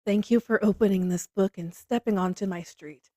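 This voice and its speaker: Woman reading a book aloud, measured and reflective, super dry close sound. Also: mid-range voice, steady tone, mellow, no echo. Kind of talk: scripted literary narration.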